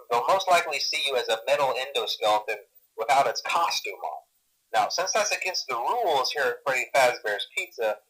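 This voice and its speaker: Anxious company phone guy, medium male pitch, video game character, hesitant, rambling, awkwardly upbeat, downplaying danger, telephone voicemail sound. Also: bright, forceful tone, poor audio. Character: nervous, chipper male company employee downplaying grisly dangers. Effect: telephone voicemail filter. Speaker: phone guide to a night watchman. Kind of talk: recorded phone training message.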